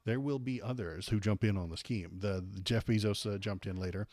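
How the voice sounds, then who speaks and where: An American man hosting a casual political livestream, rambling and halting with repeated words, irreverent and sarcastic as he chats with viewers. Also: lowish voice, darkish tone, no echo. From United States. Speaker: livestream host. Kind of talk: casual political commentary, chatting with live viewers.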